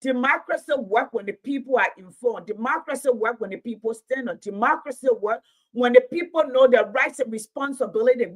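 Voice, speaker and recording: A woman in her late fifties giving impassioned livestream commentary, preaching in repeated rhetorical build-ups. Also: on the high side. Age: 50s. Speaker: commentator and Pan-Africanism teacher.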